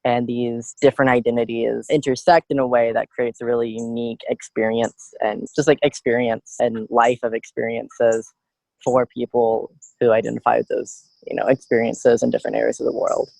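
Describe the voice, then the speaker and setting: A man's voice: medium pitch, flat delivery, moderate pace, vocal fry, a little mellow, no echo, low-quality recording. A young adult man, a student guest in a podcast interview, speaking in an earnest, reflective way with frequent "you know" and "like".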